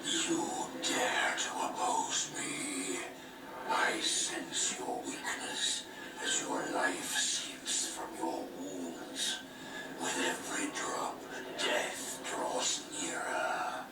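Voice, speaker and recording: An ancient, menacing spectral king with a very low voice that sinks into a breathy whisper. He delivers cold accusations slowly and grandly, in echoing, distant game cutscene audio. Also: huge pitch swings, exaggerated voice. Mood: threatening. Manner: slow, ominous, grandiose pronouncements. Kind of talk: dramatic video game cutscene acting. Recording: echoey, distant, noisy game audio playback.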